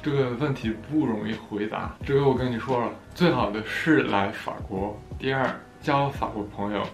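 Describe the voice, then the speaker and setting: A young man from France answering viewer questions in a casual vlog, playful and joking at his own expense. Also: medium pitch. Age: young adult. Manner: playful, jokey, laughs at himself. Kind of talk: casual Q&A vlog, switching between French and Chinese. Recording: echoey room with soft background music.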